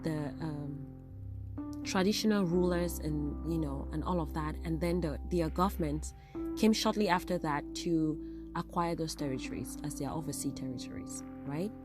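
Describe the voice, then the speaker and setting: A woman's voice: sits low, normal speed, over music. A woman hosting a casual solo podcast, sounding a little nasal from a cold and often checking in with "right?". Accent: African English. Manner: chatty, tags lines with "right?". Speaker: podcast host.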